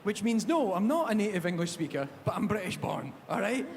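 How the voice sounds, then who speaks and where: A fairly high-voiced man with a Glasgow accent hosts a live panel chat, telling stories with jokey energy. His voice rings through a large echoey hall, with a faint hum of noise underneath. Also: fairly quick. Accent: Glaswegian Scottish. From Scotland. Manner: jokey, animated storytelling. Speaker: panel host. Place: large theatre stage.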